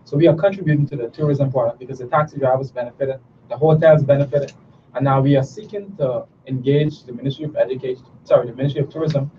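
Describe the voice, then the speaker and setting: A man's voice: medium pitch, steady tone, fast. Bahamian guy on a talk show, earnest and persuasive, lots of uh and restarts, far off dull mic. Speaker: guest, natural herbal products business owner. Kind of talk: promotional talk show interview. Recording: distant mic, dull sound, background noise.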